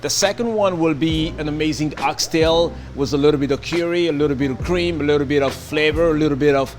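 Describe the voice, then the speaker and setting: A man's voice: a little high, fast talker. A man from France with a French accent, speaking as a chef giving a casual cooking demonstration in a kitchen. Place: restaurant-style kitchen. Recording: noisy kitchen ambience.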